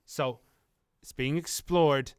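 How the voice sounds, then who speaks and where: A man's voice: a little high, steady tone, slow, clean tone. A man casually answering viewer questions about a game on a livestream, rambling through explanations with many ums and restarts. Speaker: community manager on a game stream.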